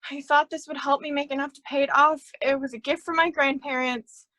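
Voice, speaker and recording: High, bright, anxious young woman acted. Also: steady tone. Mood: anxious, disbelieving. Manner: halting, flustered. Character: anxious, naive young woman student-loan debtor. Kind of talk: staged play reading.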